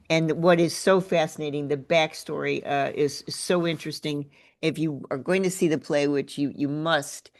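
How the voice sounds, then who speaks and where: Woman hosting a conversational interview. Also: low voice. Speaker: interview host. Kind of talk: conversational radio-style interview.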